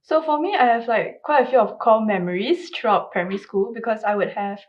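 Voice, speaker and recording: A woman's voice: a little high, a little fast. A young woman, a panel guest casually sharing personal memories. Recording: slightly dull, muffled sound.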